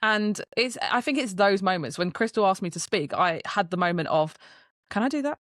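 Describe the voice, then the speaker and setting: A woman's voice: medium pitch, lively intonation, normal speed, a bit bright, no echo, studio quality. A British woman as a podcast guest, telling stories in an earnest, reflective way. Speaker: guest, brand strategist. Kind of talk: casual podcast chat.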